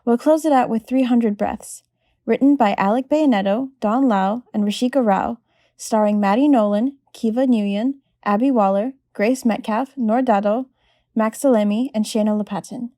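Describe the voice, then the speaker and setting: A woman's voice: a little high, moderate pace, dark tone, no echo, clean studio sound. A woman presenter announcing a show and reading out its credits.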